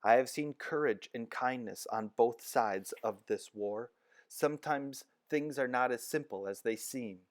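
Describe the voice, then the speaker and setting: A man voicing a calm, firm woman nurse in a storybook read-aloud, measured and gentle. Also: mid-range voice, no room sound, studio quality, lightly acted.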